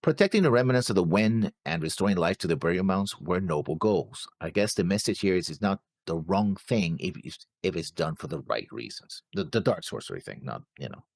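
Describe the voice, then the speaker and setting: Deep, creaky, animated man in casual discussion. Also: a little fast, hardly any pauses, dry recording. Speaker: co-host of an anime reaction show.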